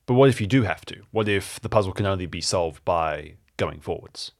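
Man playing a deep-voiced, persistent questioner. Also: lively intonation, a little fast. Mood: probing. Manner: patient and insistent. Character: persistent, probing man talking in puzzle riddles. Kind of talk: improvised audio drama dialogue.

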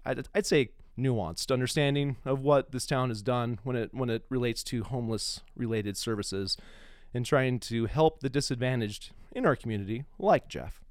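An American man talks as a podcast host in a casual, off-the-cuff way. His pitch moves around a lot and he leans emphatically on his opinions. The sound is close and dry. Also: no room sound.

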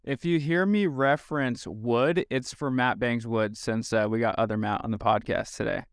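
An enthusiastic man hosting a casual podcast, his voice smooth and resonant. He speaks close to a studio mic, with a dry sound and no room around him. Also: mid-range voice, normal speed, dry recording. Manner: upbeat, enthusiastic, with fillers and restarts. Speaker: podcast host.

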